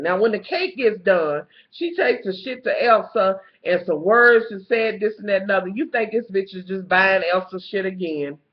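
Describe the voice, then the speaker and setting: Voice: mid-range voice, reverberant. A TV show reviewer with a stuffed-up nose, recapping an episode in gossipy, profane storytelling and calling the viewer honey. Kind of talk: casual episode recap vlog. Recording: slightly muffled lo-fi recording.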